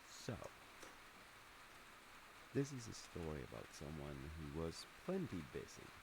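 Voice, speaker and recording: Man as comforting middle-aged dad for audio roleplay: extremely low, unhurried, soft and reassuring, under loud rain. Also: vocal fry, full character voice. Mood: easygoing, musing. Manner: soft-spoken, hesitant, reassuring. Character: gentle, attentive father comforting his grown kid. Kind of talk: wholesome comfort audio roleplay, one-sided conversation. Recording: steady loud rain noise over voice. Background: rain sounds.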